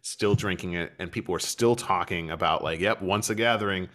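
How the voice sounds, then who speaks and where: A man's voice: low-pitched, brisk. A man hosting a podcast in casual chat, telling stories animatedly and laughing often. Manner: laughs often, animated storytelling with playful put-on voices. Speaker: podcast host.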